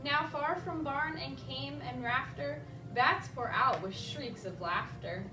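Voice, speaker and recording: A woman reading a rhyming picture book aloud as a children's librarian, expressive and speaking directly to young listeners with little asides. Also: sits high, takes it slowly, noisy background. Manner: expressive, addresses children directly with simple questions. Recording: roomy echo, distant mic, music behind.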